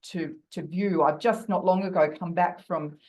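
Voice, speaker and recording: A woman with a croaky voice giving an academic talk over a video call, thoughtful and full of fillers and restarts. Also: fairly low pitch, fairly even intonation, normal speed, darkish tone. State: croaky from bronchitis. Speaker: guest lecturer.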